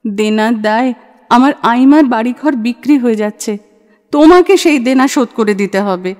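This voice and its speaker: A woman's voice: a little high, moderate pace, darkish tone, natural voice. A woman playing a shrewd, self-assured young woman in an audio play, speaking in a forthright, persuasive, dramatic way. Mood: persuasive, daring. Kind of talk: audio play acting of a literary short story. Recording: long hall-like reverb.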